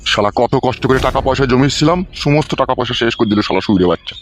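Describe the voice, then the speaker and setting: A man's voice: mid-range voice, very fast, lightly acted. A man voicing an angry, bitter older father in a comedy cartoon, scolding and cursing. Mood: furious.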